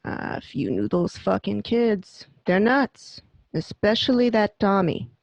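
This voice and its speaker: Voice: medium pitch, lively intonation, measured pace, creaky, no echo. A performer playing a meek, exasperated lounge owner in an amateur radio play. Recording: dry, dull home recording.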